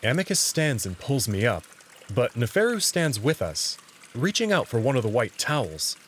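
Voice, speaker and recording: A man's voice: mid-range voice, sing-song, normal speed, fairly bright tone, slight background noise. A man reading scripted first-person narration for a visual novel game.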